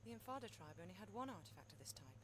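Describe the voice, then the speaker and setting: A woman's voice: lowish voice, big pitch swings, rapid-fire, background noise. An actress playing a cool, sarcastic female adventurer with a curt way of talking. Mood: dry. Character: cool, sardonic female adventurer, young adult. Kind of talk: video game cutscene acting.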